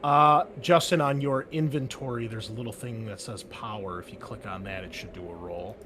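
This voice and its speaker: A man with a middling voice serves as game master for a tabletop roleplaying game. He explains and narrates conversationally, pausing as he thinks. There is some background noise on the recording. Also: medium pitch.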